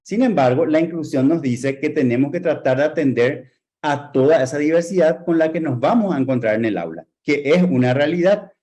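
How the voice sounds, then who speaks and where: A man with a Paraguayan Spanish accent speaks in a somewhat raised, mellow tone. He gives an earnest talk to a group of teachers, using rhetorical questions and steady emphasis. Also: fairly high pitch, a little fast. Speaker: lecturer.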